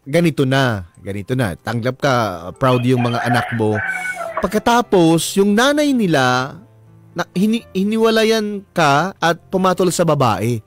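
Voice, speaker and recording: A man's voice: a little high, big pitch swings, measured pace. A Filipino man hosting a radio interview, asking probing questions conversationally and dropping in English phrases. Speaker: radio host interviewing a guest. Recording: radio studio with music underneath. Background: background music.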